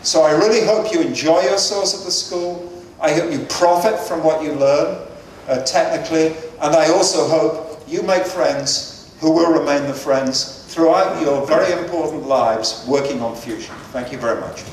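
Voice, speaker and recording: Older male speaker fielding audience questions, earnest and measured, slightly high voice, picked up from afar in a reverberant hall. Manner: measured, earnest, with frequent fillers. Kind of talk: lecture-hall Q&A answers. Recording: echoey hall, distant mic, faint noise.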